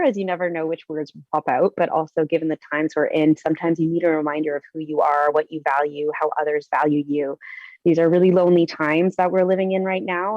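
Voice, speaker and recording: A woman's voice: low-pitched, dry recording, lo-fi sound. A Canadian woman in her thirties giving an earnest, reflective personal presentation over a video call, with some fillers.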